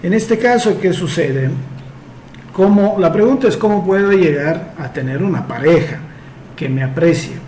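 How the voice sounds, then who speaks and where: A man's voice: sits high, lively intonation, normal speed, darkish tone. Man, advice host, explanatory, echoey room, faint background noise. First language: Italian. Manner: explanatory, uses homely comparisons. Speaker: host answering listener questions. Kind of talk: advice talk reading a letter and answering.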